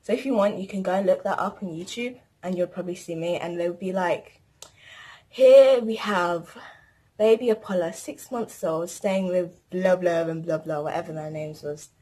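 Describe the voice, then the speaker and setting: A woman's voice: on the low side, normal speed, mellow. Teen girl, casual rambling vlog delivery. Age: teens. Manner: chatty, rambling, lists things. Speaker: vlogger. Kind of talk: casual chat to camera.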